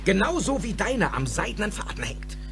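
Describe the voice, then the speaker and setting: An actor playing an eccentric, excitable older male inventor in an audio drama. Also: high voice, normal speed, music in the background. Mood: excited, earnest.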